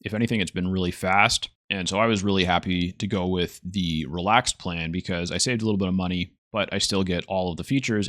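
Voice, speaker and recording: Low-pitched man giving a software tutorial. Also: no echo, studio quality. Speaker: host, software reviewer. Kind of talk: casual tutorial narration.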